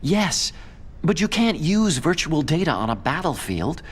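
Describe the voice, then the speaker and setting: Bookish young scientist, higher-pitched, very animated, anxious, idealistic, emotional game drama. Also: male voice, measured pace, husky, full character voice. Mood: earnest, explaining. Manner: earnest, emotional. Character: anxious, idealistic, guilt-ridden male scientist, bookish and earnest. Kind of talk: dramatic video game voice acting. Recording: faint background music.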